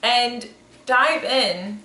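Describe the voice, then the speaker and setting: Chatty bright-voiced woman in her twenties. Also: a little high, drawn-out words. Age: 20s. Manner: chatty, rambling, self-correcting. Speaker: podcast co-host. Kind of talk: casual chat. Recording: echoey room, faint noise.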